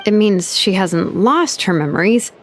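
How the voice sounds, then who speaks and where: A woman voicing a wry young engineer who muses aloud in an animated way. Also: medium pitch, measured pace, slight background noise, lightly acted.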